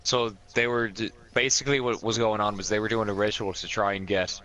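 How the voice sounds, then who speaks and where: A man with a bright, forceful voice on the lower side talks casually as a player in a tabletop game. His speech is conversational and unscripted. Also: little pitch movement, fairly quick, a little hiss or hum, rough recording. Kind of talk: casual chat.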